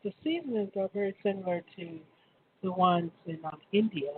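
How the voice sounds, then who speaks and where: A woman's voice: fairly low pitch, lively intonation, normal speed. A woman hosting an interview, asking casual questions with frequent hesitant restarts. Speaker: show host interviewing a business owner. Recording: tinny phone-like line with faint hiss.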